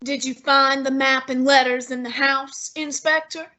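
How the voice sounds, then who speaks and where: A pompous, imperious male detective, played by a young woman in a radio play. The voice sits high and sounds bright and tense. The lines are clipped and scornful. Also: steady tone, unhurried. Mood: reasoning. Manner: haughty, clipped, dismissive. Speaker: high school drama student. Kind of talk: amateur radio play acting.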